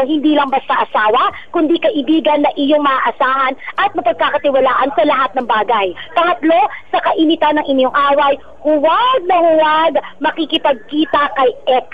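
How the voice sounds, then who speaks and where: A woman's voice: high voice, normal speed, narrow, tinny audio. A Filipino woman co-hosting a radio show, giving casual advice in a playful, giggly and sometimes preachy way. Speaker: radio co-host.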